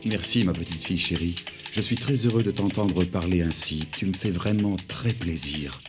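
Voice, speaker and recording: A man voicing a loving gentleman father in a dubbed cartoon, formal and affectionate. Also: low-pitched, normal speed, music in the background, natural voice. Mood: tender. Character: loving, courteous gentleman father of a little girl.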